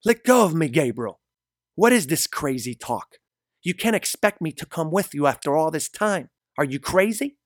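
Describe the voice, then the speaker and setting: A man's voice: a little high, measured pace, husky, no echo, clean studio sound, pitched above the performer's own voice. A man voicing a frightened, indignant middle-aged woman in an audiobook drama, with an agitated delivery. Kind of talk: dramatic audiobook character dialogue.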